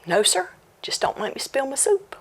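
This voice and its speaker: A woman storyteller voicing Jack, a calm, fearless young man who answers politely. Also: sits high, moderate pace, pitched above the performer's own voice, heavily acted. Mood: unbothered. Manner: polite, unbothered.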